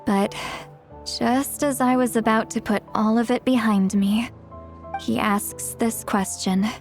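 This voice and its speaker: An earnest young warrior woman with a fairly high voice. She speaks candidly and sincerely, in an anime game style, with some music underneath. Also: fairly even intonation, takes it slowly. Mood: somber, regretful. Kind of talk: English anime game dub.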